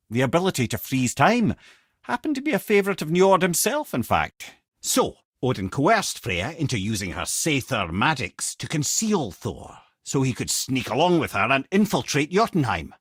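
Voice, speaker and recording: Old scottish know-it-all talking head from a video game, witty storyteller, really animated pitch, dry studio sound. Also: male voice, slightly rough, no echo. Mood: explaining. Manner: wry, eloquent, colloquial storyteller. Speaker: companion and lore-teller.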